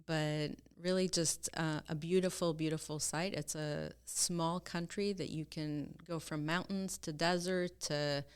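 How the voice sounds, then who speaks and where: Smooth low-voiced woman, earnest and measured. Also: steady tone. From Israel. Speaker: guest, company co-founder. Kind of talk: casual interview talk.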